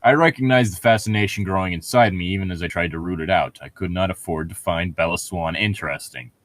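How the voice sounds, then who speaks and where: A man's voice: deep voice, fast, a bit bright. A man reading a novel aloud and mocking it with crude, sarcastic, irreverent commentary. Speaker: co-host of a comedic read-along.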